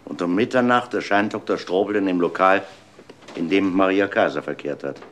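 An actor playing a terse, probing police inspector who questions people in a German crime radio play. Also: male voice, deep voice, moderate pace, a little hiss or hum, close to an everyday voice.

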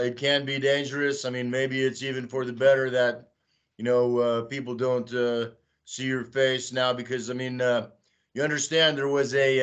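An older man speaks slowly over a webcam from a small room at home. He rambles, leaning on "uh" and "you know" between thoughts, at an even middle pitch. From Texas, United States. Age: 60s. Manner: slow, rambling, with many "uh" fillers. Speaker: guest. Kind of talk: video call interview. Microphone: webcam on a video call.

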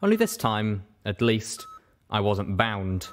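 A man's voice: on the low side, sing-song, slow, slight background noise. A man reading first-person fiction aloud as a scripted audiobook narration. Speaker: audiobook reader.

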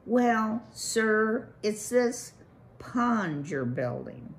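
A woman's voice: mid-range voice, sing-song, extremely slow, pitched above the performer's own voice, full character voice. A woman reading a storybook, voicing a timid, polite old male rodent who hesitates and stammers. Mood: nervous.

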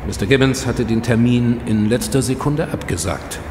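A self-assured male investigator, played by an actor speaks in a reverberant space over clear background noise. His medium-pitched voice is wry and articulate, as in a radio crime drama. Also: moderate pace, close to an everyday voice. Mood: informative, dry. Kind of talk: German radio drama dialogue.

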